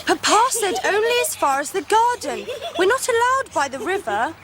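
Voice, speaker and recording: Spirited young Victorian girl, very high voice, English accent, well-spoken, anxious, radio drama. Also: big pitch swings, moderate pace, over a noisy crowd. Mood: bossy. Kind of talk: 1990s radio drama acting and narration.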